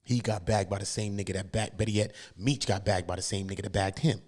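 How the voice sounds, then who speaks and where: A man's voice: fairly low pitch, fairly even intonation, fast, a little mellow. An American man hosting a livestream, giving casual, slangy street commentary on court cases and repeating phrases for emphasis.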